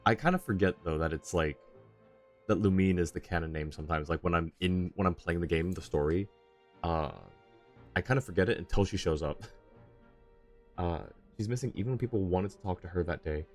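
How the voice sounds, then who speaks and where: Low-voiced man casually streaming a game. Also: a little fast. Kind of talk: casual live commentary on a game. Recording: game music clearly behind the voice. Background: video game music.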